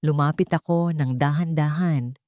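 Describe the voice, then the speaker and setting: A woman with a fairly low voice narrates a first-person drama for an audiobook. Her delivery is solemn and emotive, recorded dry with hardly any room sound. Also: moderate pace, mellow, no room sound.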